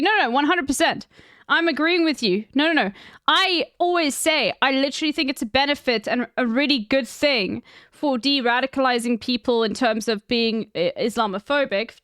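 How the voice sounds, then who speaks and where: A woman's voice: sits high, fairly bright tone, smooth, no room sound, clean studio sound. A woman with an English South African accent, chatting bluntly and swearing as she answers her live-stream chat. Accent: English-speaking South African.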